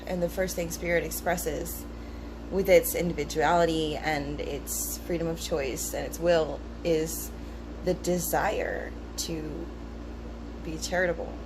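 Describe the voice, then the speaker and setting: Relaxed low-voiced woman musing aloud. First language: English. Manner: relaxed, thoughtful, with frequent ums and pauses. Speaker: vlogger discussing esoteric teachings. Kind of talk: casual rambling talk mixed with reading aloud. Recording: noticeable background hum.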